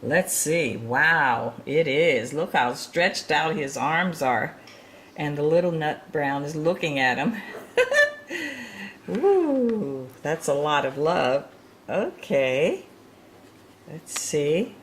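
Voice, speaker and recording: A woman's voice: deep voice, animated, slow pace, slight background noise. A woman reading a picture book aloud to young children, with chatty, encouraging asides and chuckles over the pictures. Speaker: children's storytime reader.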